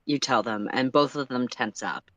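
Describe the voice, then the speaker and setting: Storyteller reading fanfic out loud on a stream, mid-pitched voice, really dry close sound. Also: normal speed, dry recording, low-quality recording. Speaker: co-host and narrator of a story livestream. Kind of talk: read-aloud narration of fan fiction in second person.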